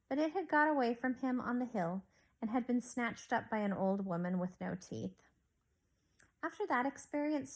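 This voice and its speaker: A woman teacher reading a storybook aloud to young children in an expressive way, recorded at home. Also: medium pitch, rough recording. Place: on a couch at home.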